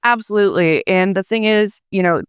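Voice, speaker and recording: A woman psychology researcher, a guest on a radio show, explaining her research in a measured, explanatory way. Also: medium pitch, clean tone, no room sound. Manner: measured, explanatory, many "you know"s.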